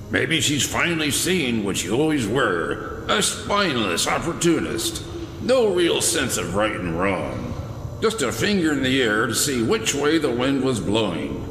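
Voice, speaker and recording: Scornful older commissioner. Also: male voice, mid-range voice, fairly bright tone, slight background noise. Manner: cutting, contemptuous, slow and deliberate. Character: older male police commissioner held hostage, scornful. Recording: echoey room with long reverb.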